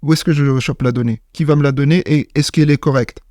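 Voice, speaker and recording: A man's voice: fairly high pitch, steady tone, fast, a little mellow, no echo, clean studio sound. A man from Morocco, a startup founder, speaking in a casual interview, hesitant with many fillers and restarts.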